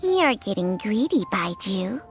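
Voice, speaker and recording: A woman voicing an ancient female snake spirit in a video game, measured, theatrical and wryly world-weary. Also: mid-range voice, big pitch swings, a little hiss or hum. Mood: fondly chiding. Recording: loud music under the voice.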